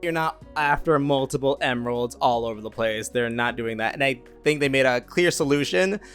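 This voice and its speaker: A man giving a casual, rambling movie review in an animated, casually sweary way, as an online reviewer. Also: medium pitch, moderate pace, soft background music. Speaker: YouTube game and film reviewer.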